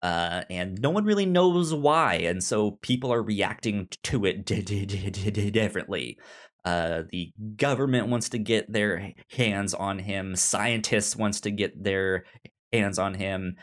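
A man's voice: on the low side, a little slow. A man with a pronounced stutter, chatting casually as a podcast co-host about things he recommends. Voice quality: pronounced stutter, repeating sounds and syllables. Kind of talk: casual discussion and recommendations of books and shows.

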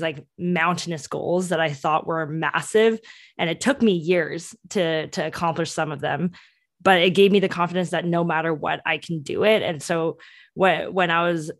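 A woman's voice: lowish voice, no echo, studio quality. A young woman in her twenties whose first language is Cantonese, telling her story eagerly in a podcast interview with many restarts. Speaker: entrepreneur guest.

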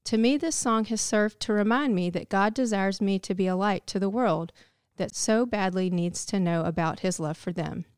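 Clear-voiced woman giving a devotional. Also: medium pitch, normal speed, a little mellow, no room sound, clean studio sound. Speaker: devotional speaker. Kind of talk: scripted reflective narration.

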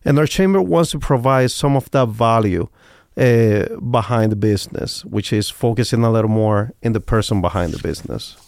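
A man's voice: fairly low pitch, normal speed, creaky, a little mellow, no echo, clean studio sound. A man from Cuba with a Cuban Spanish accent, talking earnestly and reflectively as an interview guest. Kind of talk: interview talk.

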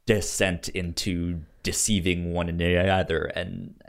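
A man co-hosting a film podcast in casual chat, haltingly repeating words as he thinks. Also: sits low, little pitch movement, vocal fry.

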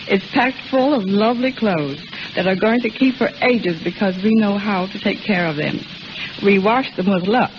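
A woman's voice: mid-range voice, lo-fi sound, a little hiss or hum. A woman giving a polished, rehearsed testimonial as a studio wardrobe mistress on 1930s radio, on an old recording.

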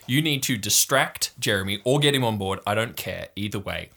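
Man as a brusque, bossy teenage girl, low-pitched. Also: expressive, brisk, vocal fry, sharp tone, heavily acted. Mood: commanding, blunt. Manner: decisive, brusque. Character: bossy, blunt teenage girl leading camp defenses.

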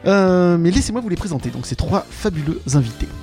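A man's voice: high voice, lively intonation, brisk, mellow. A man hosting a live show in a playful, mock-grandiose presenting style. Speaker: podcast host. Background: background music.

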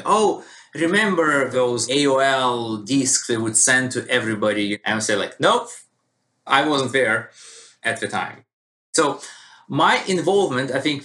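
A man from Russia with a Russian accent, a database expert telling stories in an interview and acting out quoted dialogue. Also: mid-range voice. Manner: animated storytelling with quoted dialogue. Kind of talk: conversational interview answer.

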